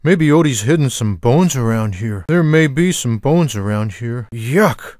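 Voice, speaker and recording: A lazy, sarcastic cartoon tomcat played by a man drawls out food-obsessed wisecracks for a video game. The voice is mid-pitched and deadpan, recorded very dry and close, with no room sound. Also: measured pace, no echo, natural voice. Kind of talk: scripted video game character one-liners. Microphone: close studio microphone, dry sound.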